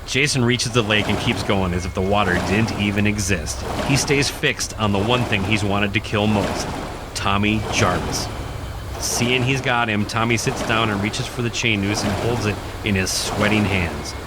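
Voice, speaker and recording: A man's voice: fairly low pitch, fairly even intonation, normal speed, heavy background noise. A man reading a horror radio play as narrator, in a suspenseful, theatrical style. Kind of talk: dramatic scripted horror narration. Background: prominent music.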